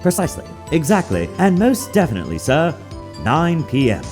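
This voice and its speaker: A man's voice: on the high side, huge pitch swings, normal speed, a little mellow. A man voicing a prim, obsequious head bellboy in a video game dub, overly formal and exact. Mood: precise. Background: music.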